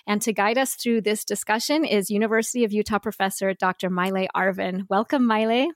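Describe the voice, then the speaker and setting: Woman podcast host, mid-pitched, eager and friendly, dry recording. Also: steady tone, brisk, no echo. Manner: warm, enthusiastic, reads bio aloud. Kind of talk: interview hosting.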